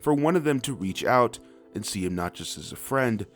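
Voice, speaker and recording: Guy doing a snarky scripted game review, super lively up and down voice, chatty asides. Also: mid-range voice, normal speed, soft background music. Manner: sarcastic, profane, conversational asides. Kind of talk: scripted comedic video essay narration.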